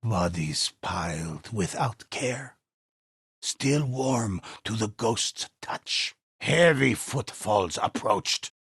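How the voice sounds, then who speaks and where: A man playing a grave old storyteller in a video game, narrating dark war tales in an ominous, measured, theatrical way. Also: fairly low pitch, expressive, dry recording, studio quality, exaggerated voice. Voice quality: husky, gravelly. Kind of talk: scripted dramatic storytelling narration.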